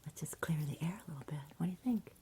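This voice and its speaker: A woman speaking in a soft, soothing whisper in an ASMR bedtime roleplay, gentle and unhurried as if talking to a child. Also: on the low side, lively intonation, slight background noise. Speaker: ASMR performer playing a caregiver at bedtime.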